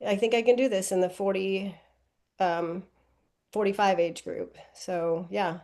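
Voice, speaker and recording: A woman's voice: medium pitch, fairly even intonation, a little slow, warm tone. Woman, forties, casual interview guest, rambling, self-correcting. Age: 40s. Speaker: guest athlete.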